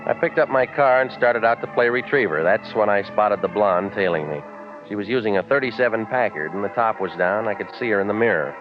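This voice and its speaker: Guy playing a hardboiled radio detective, deep voice, dry wisecracks, muffled old 40s broadcast. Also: a little fast, faint music behind, close to an everyday voice. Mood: deadpan narrating. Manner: deadpan, sardonic, terse. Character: hard-boiled, wisecracking private eye. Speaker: narrating detective lead. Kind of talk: 1940s radio acting, noir narration. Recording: muffled vintage radio recording.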